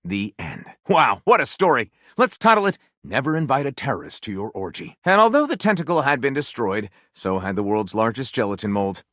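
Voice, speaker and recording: A theatrical video game storyteller in a dry, close recording. His pitch leaps and dips freely as he delivers pulp fiction narration with grandiose melodrama. The character is flamboyant and enthusiastic, with a playfully sardonic edge. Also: wildly swooping pitch, brisk, few pauses, a bit bright, dry recording. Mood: theatrical enthusiasm.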